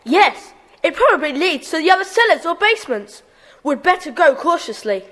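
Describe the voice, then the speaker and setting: A woman's voice: very high voice, big pitch swings, normal speed, fairly bright tone, full character voice. A woman playing an eager, careful schoolboy gang member with clipped replies in a children's audiobook drama. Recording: echoing hall, faint noise.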